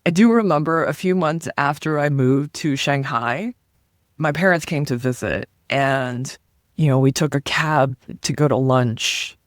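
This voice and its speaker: A woman from Taiwan, a comedian and guest on an interview, telling stories in an animated, chatty way and acting out the voices she quotes. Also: deep voice, unhurried, no echo, studio quality. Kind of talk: casual interview storytelling.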